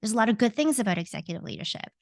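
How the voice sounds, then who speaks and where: A woman's voice: fairly high pitch, lively intonation, racing, dry recording, clean studio sound. A woman in her forties with a Canadian accent, a coach speaking as a podcast guest in an earnest, reflective way with frequent ums and self-corrections. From Hong Kong, raised in Canada. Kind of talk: podcast interview.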